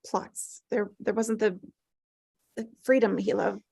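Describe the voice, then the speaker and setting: A woman playing a grieving older mother in a drama table read, earnest and compassionate, speaking haltingly as she searches for words. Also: mid-range voice, steady tone, gravelly, a little mellow, breathy edge, no room sound, clean studio sound, full character voice. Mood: wistful.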